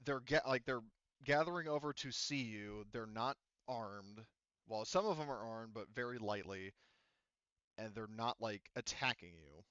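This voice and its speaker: A man running a tabletop game, thinking out loud with plenty of fillers and the odd curse. His voice is smooth and clear, recorded close and dry. The voice chat audio sounds a little muffled. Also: mid-range voice, unhurried, no room sound. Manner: rambling, filler-heavy, profane. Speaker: game master.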